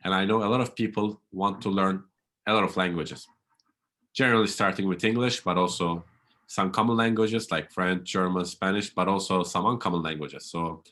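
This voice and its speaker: A man hosts a podcast interview and asks his questions in an earnest, measured way. His voice is very deep. He speaks English. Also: steady tone, pushed and bright. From Turkey. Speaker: podcast host.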